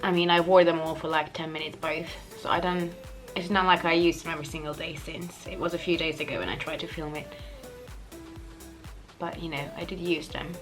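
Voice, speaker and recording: A young woman with a slightly croaky voice after the flu, chatting casually through a makeup tutorial and often correcting herself. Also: low voice, little pitch movement, a little fast, music in the background. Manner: chatty, self-correcting, giggly asides. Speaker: beauty vlogger.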